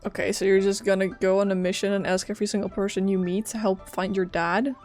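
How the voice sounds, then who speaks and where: Casual woman streamer over soft music. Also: mid-range voice, level tone, quick pace. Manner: wry, offhand asides. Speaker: streamer playing a video game. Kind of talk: casual gameplay commentary. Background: game music.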